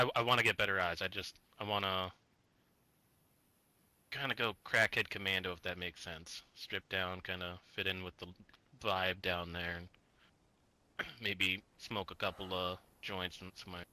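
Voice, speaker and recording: A man's voice: low-pitched, steady tone, normal speed, pushed and bright. A man talking in character during a survival roleplay game, rambling and swearing as he trails off mid-thought. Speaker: roleplay player.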